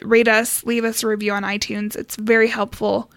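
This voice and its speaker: A woman's voice: mid-range voice, level tone, moderate pace, no echo. A woman co-hosting a podcast in casual, informal conversation with a giggly manner. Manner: informal, giggly, mildly sweary. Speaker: podcast co-host.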